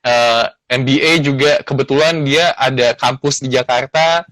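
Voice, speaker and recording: A man's voice: mid-range voice, steady tone, normal speed, fairly bright tone, dry recording, low-quality recording. A man in his thirties, a lawyer guest in a casual interview, speaking earnestly and reflectively with many fillers. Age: 30s.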